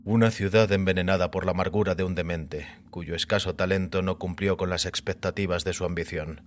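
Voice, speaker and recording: An actor playing a calm, enigmatic male visitor who speaks with measured, solemn authority in an audio drama. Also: low-pitched, a little hiss or hum, natural voice. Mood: grave. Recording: music bed under the voice.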